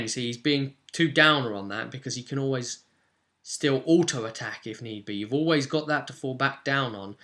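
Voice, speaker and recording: A man's voice: medium pitch, bright, forceful tone. A man hosting a vlog as a game master, recapping a tabletop roleplay session in a rambling, blunt, conversational way. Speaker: game master and video host.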